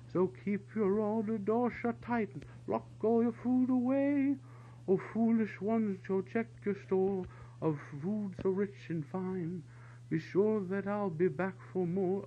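A man's voice: high-pitched, voice pushed up. A man voicing a jovial, plump male mouse thief who sings a mocking little ditty in a sing-song way. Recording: dull lo-fi recording with faint hum.

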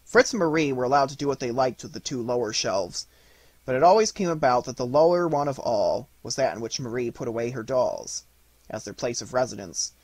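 A man narrates a storybook as an audiobook reader. His voice is mid-pitched and slightly husky, in a dry space with little echo. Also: darkish tone.

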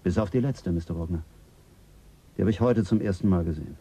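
An actor playing a calm, principled male psychologist in a German radio drama, speaking in a measured, earnest way. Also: low voice, breathy edge.

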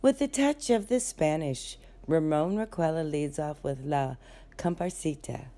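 A woman's voice: deep voice, unhurried, mellow, faint music behind. A woman playing a hotel ballroom announcer introducing a dance band in old-time radio style. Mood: formal, welcoming.

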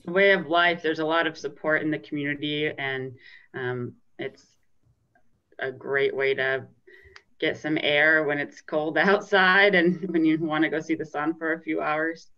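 A woman coach speaks from a small office over a laptop webcam, her voice bright and crisp but a little dull and lo-fi. She answers press questions thoughtfully, hesitating often with filler words. She sounds like a young adult. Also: low voice, steady tone, measured pace. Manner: thoughtful, hesitant, many ums. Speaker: ski coach. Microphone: laptop webcam on a video call. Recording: slightly dull video call audio.

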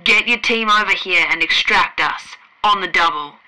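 A woman's voice: medium pitch, moderate pace, pushed and bright, rough recording. A woman voicing a blustering male vice admiral who barks commands over the radio. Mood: commanding. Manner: barking, commanding.

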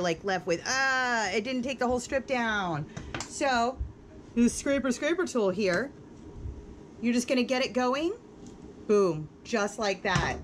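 A woman's voice: on the high side, slight background noise. A woman in her thirties giving a casual, upbeat how-to demonstration while working inside a small RV, with plenty of fillers as she goes. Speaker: RV renovation vlogger. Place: inside a small RV under renovation. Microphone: camera mic, moving about while working.

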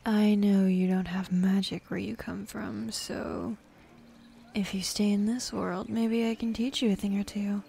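A young woman voicing a bossy, teasing demon woman in an intimate, half-whispered ASMR roleplay, with a husky, breathy voice and a sardonic drawl. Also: medium pitch, steady tone, measured pace, mellow, slight background noise. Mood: coaxing, playful.

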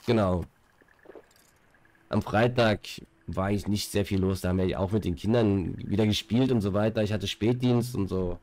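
A man's voice: lowish voice, steady tone, moderate pace, darkish tone. A young man with a stuffy nose from a cold, chatting casually on a headset as he plays a game and rambles with fillers and repeated words. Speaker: gaming streamer. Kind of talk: casual chat while playing.

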